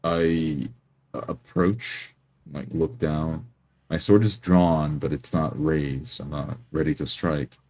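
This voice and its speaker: A man improvising first-person roleplay as a tabletop game player, in halting phrases with repeated words. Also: rumbling low, darkish tone.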